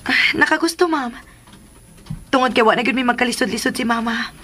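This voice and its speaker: A woman's voice: fairly high pitch, brisk, bright, forceful tone. An actress playing a humble, hesitant young woman in a radio drama, speaking with a Cebuano Filipino accent and a deferential manner. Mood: confused, respectful. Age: young adult.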